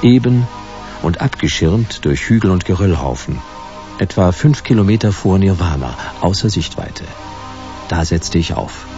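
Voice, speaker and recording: A man's voice: low voice, lively intonation, unhurried, warm tone, muffled recording, faint music behind. An actor playing a stubborn, hard-boiled private detective narrating his case laconically in a noir radio play. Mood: laconic, brooding. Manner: laconic, dry, clipped sentences.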